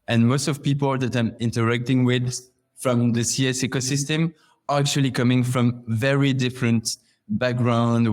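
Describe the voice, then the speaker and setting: A man from Belgium talking conversationally as a guest in a podcast interview. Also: mid-range voice. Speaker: podcast guest, customer success manager.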